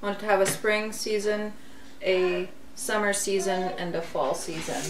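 A woman's voice: mid-range voice, fairly even intonation, moderate pace. A woman in her thirties from Lebanon, hosting a gardening video and chatting casually with many fillers and giggles. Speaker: gardening channel host. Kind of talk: casual chat, seed haul. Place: kitchen, seated at table. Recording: roomy, distant mic, faint hiss.

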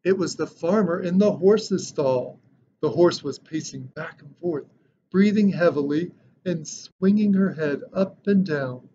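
A man in his thirties reading a children's picture book aloud with expressive, dramatic storytelling. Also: on the high side, a little slow. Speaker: storybook reader. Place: indoor decorated room. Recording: dull lo-fi sound with background noise.

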